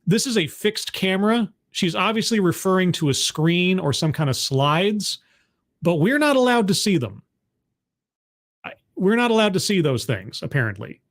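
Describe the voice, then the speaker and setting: A man's voice: high-pitched, sing-song, moderate pace. A man hosting a reaction commentary, talking in a sarcastic, interrupting, exasperated way. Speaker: commentary host reacting to a talk.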